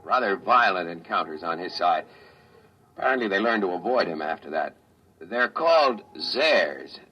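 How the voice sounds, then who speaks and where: Low-voiced, dutiful space scout. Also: male voice, animated, moderate pace, pushed and bright, breathy edge, exaggerated voice. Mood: careful, deferential. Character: dutiful male space scout, careful, deferential junior officer. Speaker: actor in a radio drama. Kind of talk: 1950s radio acting. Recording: muffled, noisy vintage 1950s radio recording.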